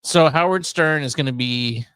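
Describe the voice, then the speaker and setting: A man's voice: on the high side, normal speed, fairly bright tone, smooth, no echo. A middle-aged American man chatting casually as a podcast host. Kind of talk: casual chat.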